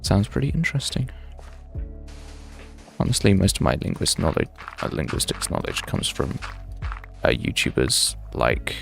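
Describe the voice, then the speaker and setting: A man's voice: deep voice, very animated, moderate pace, gravelly, long pauses, a bit bright, soft background music. A young man in his twenties, chatting casually and thinking aloud on a game livestream, drifting between tangents. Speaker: game streamer. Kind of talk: casual livestream chat while playing.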